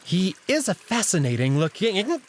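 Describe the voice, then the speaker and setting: A man's voice: sits high, big pitch swings, moderate pace, noisy background, voice pushed up. A man voicing a sly anthropomorphic jackal noble who talks in a mock-polite, taunting way for a visual novel game. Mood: smug, taunting.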